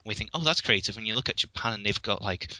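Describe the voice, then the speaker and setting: A man's voice: on the low side, expressive, quick pace, pushed and bright, no room sound, rough recording. A man from England with a Liverpool accent, chatting casually as a guest and often restarting his sentences with dry humor. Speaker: podcast guest. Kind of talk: casual chat.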